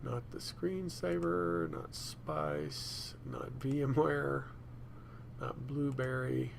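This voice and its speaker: A man presenting a software tutorial in a casual, unscripted way, thinking aloud as he goes. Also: medium pitch, animated, extremely slow, vocal fry, slight background noise. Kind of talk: casual screencast demonstration.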